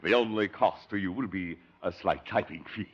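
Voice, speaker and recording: An actor playing a smooth-talking con-man political adviser in a 1950s radio comedy, husky and grandiloquent, chuckling through his pitch. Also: normal speed. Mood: scheming. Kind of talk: 1950s radio sitcom acting. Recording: dull lo-fi 1950 radio recording.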